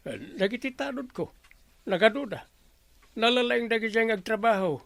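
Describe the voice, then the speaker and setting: A man's voice: sits high, expressive, moderate pace, creaky, a bit bright, no room sound, full character voice. A man with an Ilocano accent playing a chatty, scheming village captain in a radio comedy drama. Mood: pleased, scheming.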